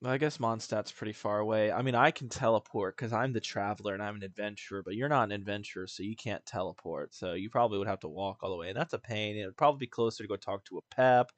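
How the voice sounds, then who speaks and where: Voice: medium pitch, quick pace, few pauses, no echo. A game streamer giving casual, blunt, joking live commentary in slangy speech while playing through a story.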